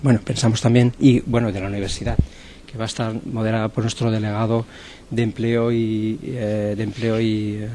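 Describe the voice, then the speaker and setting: Spanish man presenting in halting phrases. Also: lowish voice, level tone, warm tone, slight background noise. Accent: Spain. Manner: hesitant, halting delivery with repeated phrases. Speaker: university event coordinator. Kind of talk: spoken presentation of an event programme.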